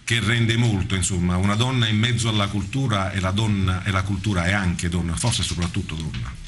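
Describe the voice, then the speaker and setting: A man's voice: low-pitched, fairly quick, slight background noise. A middle-aged man, chatting and joking as a live radio host at a studio microphone. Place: radio studio with several people. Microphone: studio microphone with headset.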